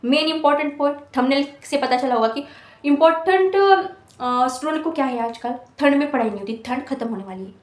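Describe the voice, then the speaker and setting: A woman's voice: sits high, brisk, roomy sound. A woman of twenty-one giving an earnest motivational talk to exam students, scolding them with rhetorical questions. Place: hostel room.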